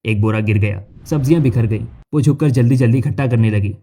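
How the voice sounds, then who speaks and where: A male narrator reads a scripted cartoon story. His pitch is average and his tone is soft and dark. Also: fairly quick.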